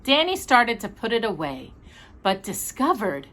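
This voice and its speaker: A woman narrating a children's storybook expressively, in a dramatic, deliberate storytelling manner. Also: fairly high pitch, big pitch swings, a bit bright. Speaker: storytime reader.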